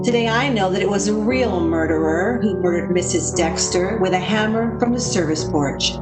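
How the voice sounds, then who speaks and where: An actress playing an anguished woman haunted by a friend's dark deeds, in dramatic, emphatic 1940s radio style. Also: medium pitch. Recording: roomy echo, music behind. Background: music.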